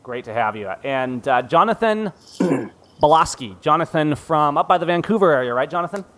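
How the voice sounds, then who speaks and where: A man's voice: on the high side, animated, moderate pace, a bit bright. A man hosting a casual photography panel podcast, upbeat and joking at his own expense. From Central Washington. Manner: upbeat, joking, self-deprecating. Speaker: podcast host. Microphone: studio podcast mic.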